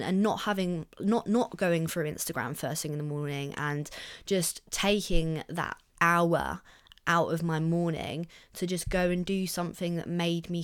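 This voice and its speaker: A young woman hosting her own podcast in casual chat, upbeat and chatty, her voice croaky and husky from being ill. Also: lowish voice. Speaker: podcast host.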